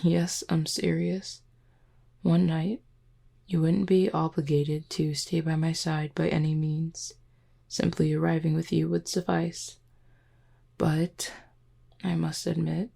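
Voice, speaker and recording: A woman voicing a flirty, composed female werewolf second-in-command in an audio roleplay, teasing and measured, with a low, husky voice. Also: mellow, exaggerated voice. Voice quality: low, husky, breathy with some creak. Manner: teasing, measured, deliberate wording. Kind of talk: roleplay audio drama, intimate one-sided dialogue.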